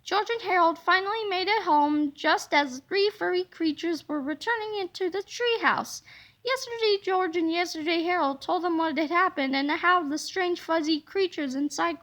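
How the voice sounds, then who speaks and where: Read-aloud narrator: woman with a very high, bright voice, telling a children's story expressively. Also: moderate pace.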